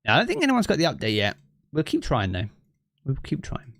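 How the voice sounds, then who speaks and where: A man with a southeast English accent talks easily with his stream chat, wandering through thoughts with plenty of fillers. His voice sits at an ordinary middle pitch. He is recorded on a close streaming mic in a living room, with a dry sound and no room echo. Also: huge pitch swings, brisk, fairly bright tone. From southeast England. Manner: chatty and easygoing, thinks aloud with many fillers. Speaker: game streamer. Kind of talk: casual live-stream chat, reading chat aloud. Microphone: streaming mic with effects.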